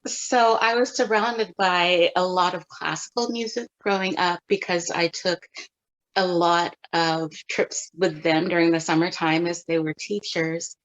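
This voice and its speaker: A middle-aged woman from California, a photographer answering interview questions in a thoughtful, measured way with pauses. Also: fairly low pitch, steady tone, low-quality recording. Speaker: guest photographer.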